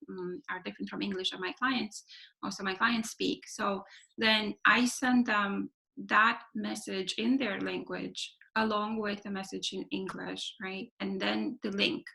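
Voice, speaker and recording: A woman's voice: medium pitch, vocal fry, sharp tone, rough recording. A woman giving a webinar talk over a video call, explaining things step by step with lots of "right?" and fillers. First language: Romanian. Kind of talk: webinar presentation.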